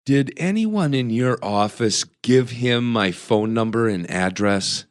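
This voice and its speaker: An actor playing an uneasy, reclusive record collector in a horror audio drama, hesitant and muttering to himself. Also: male voice, medium pitch, animated, slow.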